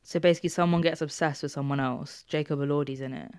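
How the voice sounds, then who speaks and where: A woman's voice: low-pitched, quick pace, clean tone, dry recording. A young woman co-hosting a casual radio chat in a studio, joking and playfully indignant. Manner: animated, joking, mock-indignant. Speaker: radio co-host. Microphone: studio microphone.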